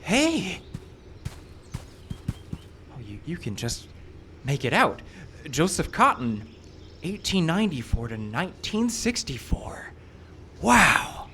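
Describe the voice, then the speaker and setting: An actor playing a glib, pushy young amateur filmmaker in a live radio drama. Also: male voice, on the high side, takes it slowly, long pauses, exaggerated voice. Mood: coaxing, excited. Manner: glib, wry, excitable. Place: live radio show in an outdoor park. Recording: live outdoor stage recording, background noise.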